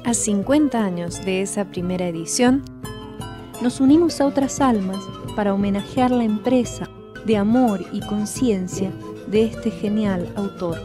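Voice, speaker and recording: A woman reading a scripted tribute narration in a soft, measured, poetic way. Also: mid-range voice, over music.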